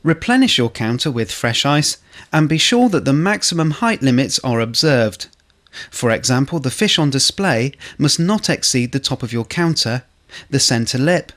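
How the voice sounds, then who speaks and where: A man narrates a staff training film with a mid-pitched voice. His delivery is scripted and measured, laying out instructions step by step in the manner of a corporate video. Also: expressive, a bit bright.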